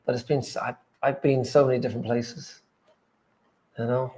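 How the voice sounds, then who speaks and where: A man's voice: mid-range voice, flat delivery, moderate pace, warm tone, slight background noise. A man who grew up in England, chatting hesitantly on a livestream. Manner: hesitant, hedging. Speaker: livestream co-host. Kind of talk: casual livestream chat.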